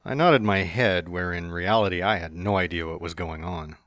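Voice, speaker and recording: A man narrates a storybook tale in a very low, deep voice, slipping into a cocky, teasing tone for the hero's own lines. The sound is close and dry, with no room around it. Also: normal speed, fairly bright tone, no room sound. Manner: first-person narration with playful smug asides. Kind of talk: fan dramatic reading of a story.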